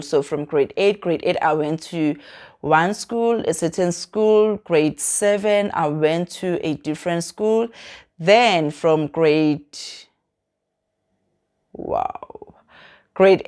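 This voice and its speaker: A woman's voice: on the low side, a little mellow, clean tone. A South African woman in her thirties from Mpumalanga chats to camera, rambling and correcting herself and dropping Zulu phrases into her English. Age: 30s. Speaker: vlogger. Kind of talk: casual chat to camera, self-introduction.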